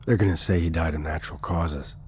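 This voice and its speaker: Earnest investigator guy in a podcast drama, deep voice, careful but persistent, bit of background noise. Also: steady tone, quick pace, darkish tone. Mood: insistent, uneasy. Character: earnest, dogged male investigator and caring father. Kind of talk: naturalistic audio drama acting.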